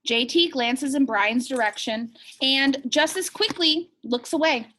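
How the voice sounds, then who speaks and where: A woman's voice: high voice, measured pace, pushed and bright, a little hiss or hum, rough recording. A woman teacher reading a picture book aloud expressively and asking young listeners questions.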